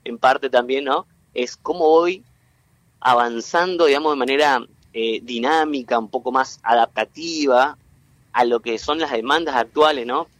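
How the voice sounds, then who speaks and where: A man from Entre Ríos in Argentina with a local accent, a guest explaining at length in an interview, halting and full of fillers and restarts. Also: mid-range voice, fairly bright tone, dry recording. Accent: Entre Ríos Argentine Spanish. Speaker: guest, tech cluster president.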